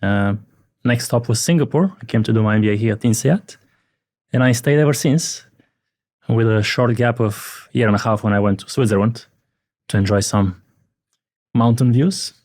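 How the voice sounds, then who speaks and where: A man in his forties from Bulgaria, chatting on a podcast as a guest and telling his story in a relaxed, wry way. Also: medium pitch, moderate pace, long pauses, dry recording. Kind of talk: casual podcast chat.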